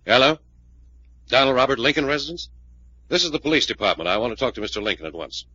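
An actor playing a tough, probing investigator in a 1940s radio drama, with a blunt, sardonic, interrogating manner. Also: male voice, mid-range voice, very animated, fast, pushed and bright, breathy edge, exaggerated voice. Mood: firm, accusing. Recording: muffled old-time radio recording.

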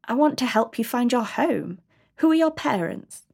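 A woman's voice: fairly high pitch, lively intonation, moderate pace, creaky, breathy edge, exaggerated voice. A woman voicing a lonely grown man, once a charlatan, asking earnest questions.

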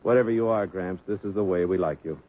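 A man's voice: deep voice, quick pace. An actor playing a blunt, sardonic young man in a 1950s radio drama. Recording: dull old radio broadcast, faint hiss.

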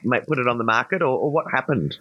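A woman's voice: very deep voice, lively intonation, quick pace, no echo, muffled recording. A woman hosting an interview, asking questions with gushing enthusiasm. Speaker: interview host. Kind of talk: interview conversation.

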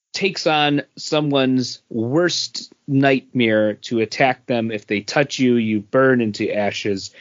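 A man chatting casually as a podcast host, halting and pausing mid-sentence. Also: medium pitch, dry recording, highs cut off. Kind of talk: casual comic book review chat.

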